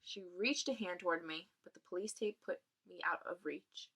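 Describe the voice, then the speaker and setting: A woman reading a children's novel aloud and pausing to explain it in a casual way. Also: on the low side, a bit bright, rough recording. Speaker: reader of a children's novel read aloud, explaining as a teacher would. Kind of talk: read-aloud narration with casual explanatory asides.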